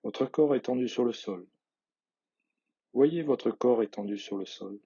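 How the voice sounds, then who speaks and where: A man guiding a yoga nidra relaxation, reading measured instructions in a husky voice with soft phrase endings. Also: mid-range voice, lo-fi sound. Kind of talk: guided relaxation meditation, read instructions.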